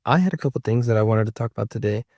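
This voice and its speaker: A man's voice: lowish voice, racing, a little mellow, no echo, studio quality. A man of about thirty chatting casually to his viewers as a vlogger, rambling and repeating himself for emphasis. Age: around 30. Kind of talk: casual personal chat to viewers.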